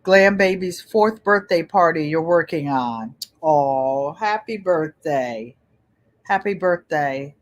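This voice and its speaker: A woman in her seventies hosting a casual livestream, chatting in a gentle, pleasant voice and rambling as she often repeats her phrases. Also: deep voice, animated, slow pace. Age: 70s. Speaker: channel host.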